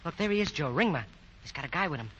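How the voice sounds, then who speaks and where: A performer playing an eager, excitable young boy, the kid brother in a 1940s radio drama. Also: medium pitch, very fast, slightly rough. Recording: dull vintage 1940 radio broadcast.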